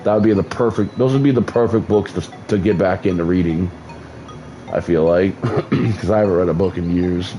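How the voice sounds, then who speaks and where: A man's voice: deep voice, normal speed, music in the background, rough recording. A man streaming a game, chatting casually in a rambling way with many restarts and casual swearing, sounding like he is losing his voice. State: losing his voice, mouth numb. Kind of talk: casual gameplay commentary.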